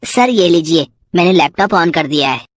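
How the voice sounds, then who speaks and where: A woman's voice: lowish voice, lively intonation, moderate pace, no room sound, clean studio sound. A woman voicing a bragging young schoolboy in a cartoon story. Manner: bragging, sly. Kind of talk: cartoon voice acting.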